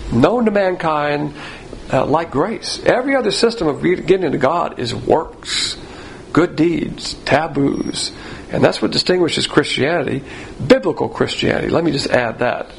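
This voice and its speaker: Man, Bible class teacher, gravelly, slightly high voice, folksy and repetitive, faint background hiss. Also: poor audio. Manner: conversational and folksy, repeats points for emphasis.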